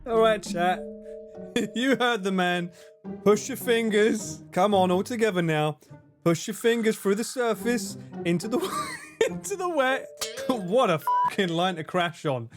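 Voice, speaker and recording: A man's voice: high-pitched, animated, a little slow, over music. A young man streaming live, chatting with his viewers and swearing and joking as he plays a game. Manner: sweary, jokey, talks to chat and laughs often. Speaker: livestreamer. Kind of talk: live stream chat while gaming.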